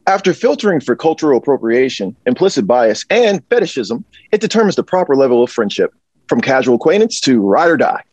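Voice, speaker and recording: A man in his thirties playing a slick, upbeat concierge pitching a service at a script read, with a husky voice. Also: sing-song, a little fast, a little mellow, dry recording, lo-fi sound. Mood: upbeat, explaining. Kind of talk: comedic sales pitch, table read. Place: indoor office or storeroom. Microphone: wireless earbuds, video call.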